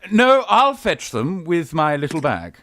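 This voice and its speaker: A bashful young English lord of student age played by a man in a Victorian radio farce. His voice swings about in a highish register, close and dry, stammering good-naturedly through upper-class slang. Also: big pitch swings, unhurried, sharp tone, no room sound, exaggerated voice. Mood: flustered protesting. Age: young adult. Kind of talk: radio play farce acting.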